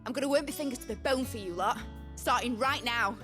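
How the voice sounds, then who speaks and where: A woman acting as eager young craftworker, high voice, chirpy, gushing, fantasy game, faint music. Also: fast talker, fairly bright tone, natural voice. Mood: excited, grateful. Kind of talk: video game drama acting.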